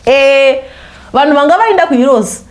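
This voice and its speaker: A woman from Zimbabwe, a host who chats animatedly with viewers and then reads a confession aloud. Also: moderate pace. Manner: animated, talks straight to viewers, voice leaps high when scolding. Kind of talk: chatty intro, then reading a confession aloud.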